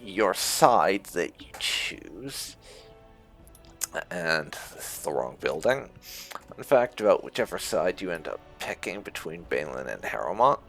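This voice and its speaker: A man's voice: sits low, sing-song, unhurried, a bit bright, soft background music. A man giving casual, conversational commentary on a game, explaining things as he plays. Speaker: gaming YouTuber.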